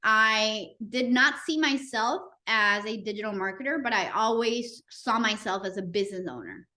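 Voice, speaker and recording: A woman's voice: on the high side, animated, moderate pace, sharp tone. A woman in her thirties from Costa Rica and Nicaragua, a podcast guest telling her story with frequent fillers. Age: 30s. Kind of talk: interview conversation.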